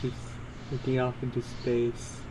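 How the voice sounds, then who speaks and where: A casual amateur reader with a mellow, soft-edged tone tells a story aloud. The delivery is informal, with stumbles and quick self-corrections, and there is some noise in the recording. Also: medium pitch, monotone, poor audio. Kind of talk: reading fan fiction aloud, casual.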